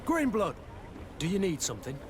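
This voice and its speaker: An actor playing a loyal, tender guardian in a video game, measured and earnest. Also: male voice, high-pitched, huge pitch swings, background noise. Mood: tender, wistful.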